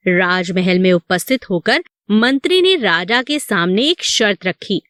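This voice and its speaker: A woman's voice: medium pitch, animated, a little slow, fairly bright tone, dry recording, clean studio sound. A woman narrating a scripted moral story for children.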